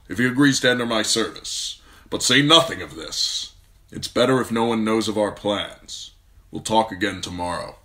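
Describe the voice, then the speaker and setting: A man voicing a gentle, grave noble father in an audiobook, calm and measured. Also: fairly low pitch, pitched above the performer's own voice. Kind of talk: audiobook character voice.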